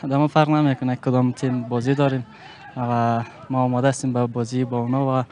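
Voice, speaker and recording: A man's voice: mid-range voice, flat delivery, a little mellow. A young man with an Afghan Dari accent, a player modestly answering interview questions outdoors on a handheld mic, with frequent fillers. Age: young adult. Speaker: football player. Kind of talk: post-match interview. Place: outdoor pitchside backdrop.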